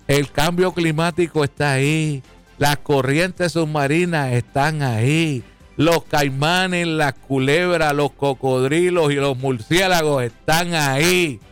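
A man's voice: slow, fairly bright tone, smooth, soft background music. A man hosting a live radio talk show, folksy and joking, often calling listeners "papá". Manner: jokey, folksy, calls listeners "papá", swings pitch for emphasis.

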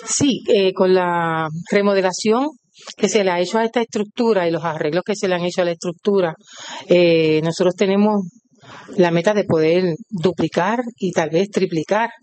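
An older woman with a Puerto Rican accent, a retired cooperative worker being interviewed, speaking proudly and devoutly and listing things in a steady rhythm. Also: fairly low pitch, moderate pace. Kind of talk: on-site interview.

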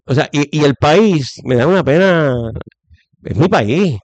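A man's voice: a little high, animated, unhurried, darkish tone, dry recording, muffled recording. A middle-aged man with a Puerto Rican accent giving opinionated political commentary in conversation, stressing points by repeating phrases. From Puerto Rico.

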